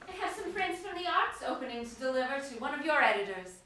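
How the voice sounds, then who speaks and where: An actress playing a poised, bold socialite and masked heroine in a radio drama, composed and a little arch. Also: female voice, high-pitched, sing-song, quick pace, pushed and bright, exaggerated voice. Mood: sociable, coy. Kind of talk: 1940s-style radio drama acting.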